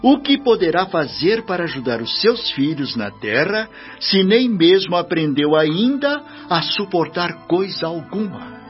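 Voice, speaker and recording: A man with a Brazilian accent playing a kindly but stern spirit minister in a radio drama, measured and admonishing. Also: high-pitched, sing-song. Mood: stern, reproving. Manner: measured, paternal, admonishing, with rhetorical questions. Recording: dull lo-fi radio drama with music behind. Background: music.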